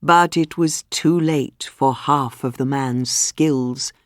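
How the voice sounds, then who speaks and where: A woman reading a scripted storybook for English learners, with measured, clearly phrased storytelling. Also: rumbling low, no room sound, studio quality. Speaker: audiobook narrator.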